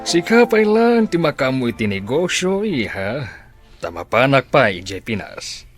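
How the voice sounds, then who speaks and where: A man's voice: mid-range voice, sing-song, moderate pace, natural voice. A man acting a stern middle-aged father with a Filipino accent in an Ilocano radio drama. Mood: measured, questioning. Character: stern, business-minded father of a grown daughter. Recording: dry studio with music underneath.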